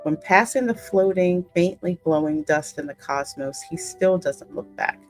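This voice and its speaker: Low-voiced woman narrating a game story. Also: animated, measured pace, soft background music, low-quality recording. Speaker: gaming video host and narrator. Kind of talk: reading game story aloud, casual reactions.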